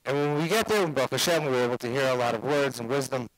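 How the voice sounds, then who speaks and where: A rabbi tells a personal story from his yeshiva days to introduce the evening's speaker. His voice sits at an ordinary middle pitch, dry and close, and he mixes Yeshiva Hebrew phrases into his English. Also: quick pace, no echo, rough recording.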